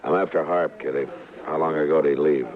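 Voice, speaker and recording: An actor playing a steady, stern frontier marshal in an old radio western, terse and dry with quiet authority. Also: male voice, a bass voice, fast, a crowd in the background. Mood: urgent. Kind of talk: 1950s radio western acting and narration. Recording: old radio recording, narrow band, loud noise.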